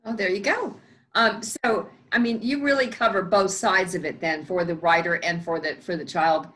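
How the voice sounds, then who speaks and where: A woman's voice: lowish voice, moderate pace, fairly bright tone. A woman hosting an interview, asking friendly, encouraging questions that ramble a little. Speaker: interviewer, host. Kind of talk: casual interview.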